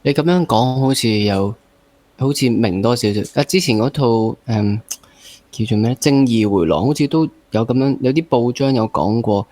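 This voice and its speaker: A man's voice: medium pitch, lively intonation, normal speed, mellow, no room sound. A man with a Hong Kong Cantonese accent hosting a casual interview, asking questions in colloquial speech. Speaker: interviewer, host.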